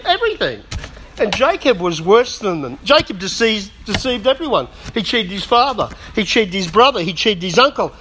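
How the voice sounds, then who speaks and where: A middle-aged man preaching a sermon in a conversational way, with humorous asides, slightly hoarse as he is losing his voice. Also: high voice, a bit bright, a little hiss or hum, low-quality recording. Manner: conversational, self-deprecating humour, frequent asides. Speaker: pastor.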